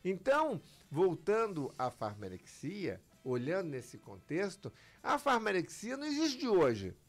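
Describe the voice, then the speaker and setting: A man speaks as a doctor in an interview, rising and falling animatedly and acting out what his patients say. His voice has a gravelly edge, and quiet music plays behind him. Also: high-pitched, a little slow. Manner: lively, emphatic, acts out patients' lines. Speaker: physician guest. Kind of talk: explanatory interview talk.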